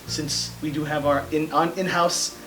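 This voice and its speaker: A man's voice: on the high side, flat delivery, measured pace, fairly bright tone, slight background noise, low-quality recording. A man hosting a casual show, talking with lots of fillers and restarts. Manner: hesitant, many fillers and restarts. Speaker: host. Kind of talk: casual chat.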